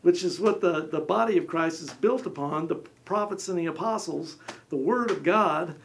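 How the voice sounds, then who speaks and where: A fairly high-voiced man in his forties, with a gravelly edge to his voice, talks about scripture from a small studio set. He gestures as he speaks and now and then acts out short quotes in little voices. His delivery is lively and conversational. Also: normal speed, slight background noise. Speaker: co-host. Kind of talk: animated Bible discussion.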